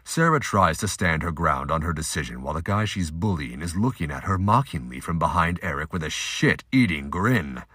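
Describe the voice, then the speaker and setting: A man with a husky voice reading scripted narration for an audio drama. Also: sits low, lively intonation, moderate pace, hardly any pauses, pushed and bright, no echo, clean studio sound.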